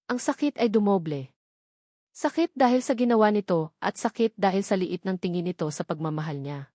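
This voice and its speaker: Lady narrator reading a dramatic romance story, medium pitch, dry audio. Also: lively intonation, a little fast, dark tone, no room sound.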